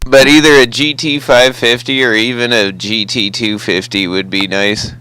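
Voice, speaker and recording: A man's voice: medium pitch, fairly even intonation, normal speed, pushed and bright, slight background noise, poor audio. An American man chatting casually over the radio as a hobbyist, with an easygoing, folksy manner. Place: two-way CB radio conversation.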